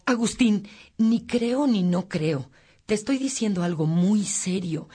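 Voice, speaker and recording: A woman's voice: medium pitch, expressive, slow pace, mellow, breathy edge. An actress playing a worried, devout and firm mother in a radio drama, earnest and admonishing. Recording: faint crowd murmur behind voice.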